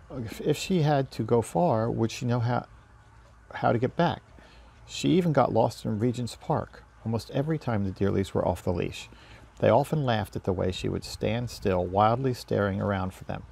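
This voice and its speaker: A man's voice: mid-range voice, vocal fry, a little mellow, breathy edge. A man reading a children's storybook aloud as narrator. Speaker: story reader.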